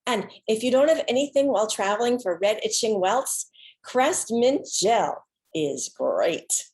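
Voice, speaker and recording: A woman giving a dramatic reading of an autobiographical monologue, with theatrical, deliberate phrasing and pauses. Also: fairly high pitch, expressive, darkish tone. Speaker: solo stage performer.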